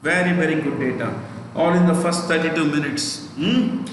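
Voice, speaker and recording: A man's voice: on the high side, normal speed. A man with an Indian accent teaches a banking exam lesson, repeating and spelling out key phrases and checking in with the listener. He is not a native Hindi speaker. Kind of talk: live exam lesson, explaining and dictating. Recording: echoey reverberant room, distant mic, faint noise.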